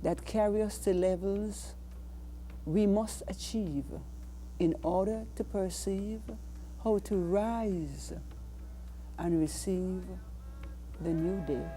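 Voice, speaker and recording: A woman with a Jamaican accent reciting spoken-word poetry in a deliberate, dramatic way. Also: medium pitch, mellow, slight background noise. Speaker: poet.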